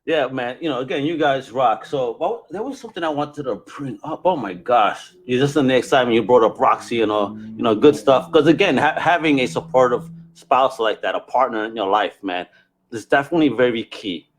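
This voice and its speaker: A man's voice: medium pitch, expressive, brisk. A man hosting a casual podcast, talking in an enthusiastic, effusive and conversational way. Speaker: podcast host.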